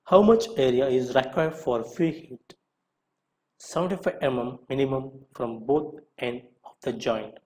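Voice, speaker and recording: A man with a mid-range voice narrates a scripted lesson. He reads out questions and follows each with a short answer. His delivery is deliberate, in the way of an instructor. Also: medium pitch, a little mellow, rough recording.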